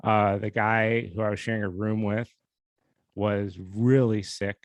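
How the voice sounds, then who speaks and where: Young male podcast host with a low voice, candid and self-deprecating, recorded dry and close. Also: level tone, measured pace, no room sound. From USA. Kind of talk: casual solo podcast storytelling.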